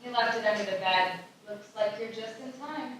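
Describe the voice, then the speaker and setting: A soft-spoken, heartbroken woman in her thirties, played by an actress at a screenplay reading. Her tone is bright, but she sounds far off in a very echoey hall. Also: mid-range voice, normal speed, close to an everyday voice. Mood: wistful, unsure. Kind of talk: live screenplay table read. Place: live festival reading in an echoey room. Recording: very echoey hall, distant mic.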